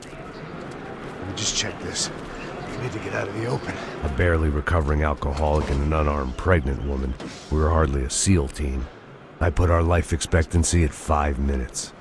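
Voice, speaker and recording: Bitter, washed-up American game hero; bottom-of-the-range voice, dry noir delivery, loud backdrop of noise. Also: male voice, a bass voice, normal speed, vocal fry. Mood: tense, urgent. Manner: wry, fatalistic, deadpan. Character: world-weary, bitter middle-aged male action hero and former drinker. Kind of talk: noir scripted narration and cinematic game dialogue. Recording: loud music and noisy ambience behind. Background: loud music, ambient noise.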